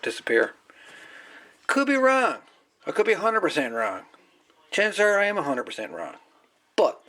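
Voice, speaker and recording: A man's voice: mid-range voice, a bit bright. A man giving casual hands-on commentary as a repairer, rambling and folksy, repeating his words as he goes. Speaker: hobbyist radio repairer presenting. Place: indoor workbench.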